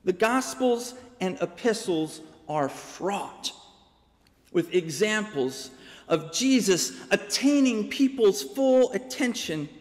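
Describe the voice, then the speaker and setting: Slow, deliberate man preaching in a church. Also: high-pitched, animated. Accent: American. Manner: measured, deliberate delivery with dramatic pauses. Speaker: pastor. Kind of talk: sermon. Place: large church sanctuary, preaching from a pulpit. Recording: long church reverb.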